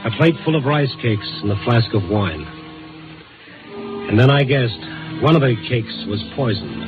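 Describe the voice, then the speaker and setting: A tinny old radio recording carries a world-weary American reporter played by a man, with strong music behind him. His voice is mid-pitched and earnest, with the clipped, dramatic phrasing of 1950s radio. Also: expressive, unhurried, close to an everyday voice. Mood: hushed, suspenseful. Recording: narrow-band vintage radio broadcast. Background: dramatic music score.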